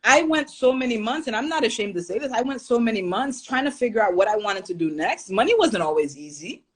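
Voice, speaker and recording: A woman's voice: fairly high pitch, lively intonation, fairly quick, husky. A young adult woman from Haiti, a podcast guest, talking in an earnest, reflective way and laughing easily. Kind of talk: casual interview talk.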